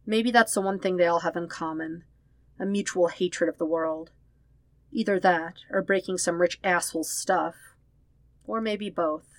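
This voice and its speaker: A woman narrates a piece of fiction in a slightly low register. Her delivery is expressive, putting weight on emotional words. The recording is dry, with hardly any room sound around the voice. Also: measured pace, dry recording. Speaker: fan fiction podfic reader. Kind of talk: scripted story narration.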